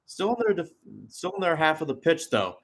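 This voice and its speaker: Animated higher-pitched male football commentator. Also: fairly quick. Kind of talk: live sports commentary.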